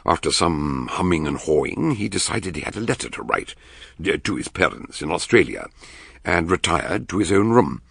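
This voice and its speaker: An actor playing an eccentric, genial older English detective doctor in a radio mystery, musing and questioning with deliberate hems. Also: male voice, low-pitched, big pitch swings, creaky, a bit bright, husky, exaggerated voice. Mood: genial narrating. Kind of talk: British radio mystery drama.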